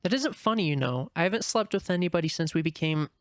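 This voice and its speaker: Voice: mid-range voice, animated, fast talker, dry recording, clean studio sound, close to an everyday voice. A man voicing a feisty small female rabbit, blunt and stubborn, in a dramatic reading of a screenplay. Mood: indignant, challenging.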